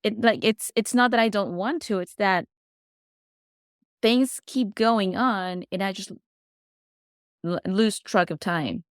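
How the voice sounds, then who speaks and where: A woman's voice: mid-range voice, takes it slowly, pauses often, no room sound, clean studio sound. A woman whose first language is Spanish, a podcast guest chatting casually and sometimes searching for words. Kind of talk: casual interview chat.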